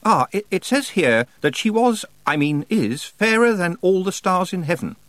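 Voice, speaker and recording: A man voicing a bookish, proper witch schoolmistress with a careful, halting manner. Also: sits high, sing-song, a bit bright, no room sound, voice pushed up. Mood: hesitant, informative.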